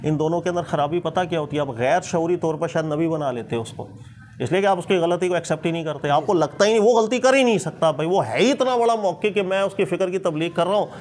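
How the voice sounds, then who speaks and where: A man's voice: fairly high pitch, fast talker, darkish tone, a little hiss or hum. A man with a Pakistani accent, a religious teacher explaining points emphatically in informal discussion, often repeating himself for stress. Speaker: Islamic scholar and teacher.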